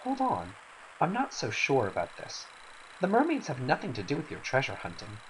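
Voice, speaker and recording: A man's voice: medium pitch, huge pitch swings, normal speed, voice pushed up, low-quality recording. A man playing a troubled, principled young warrior in a game scene, earnest and hesitant. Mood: uneasy protest. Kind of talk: dramatic reading of game cutscene dialogue. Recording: faint hiss.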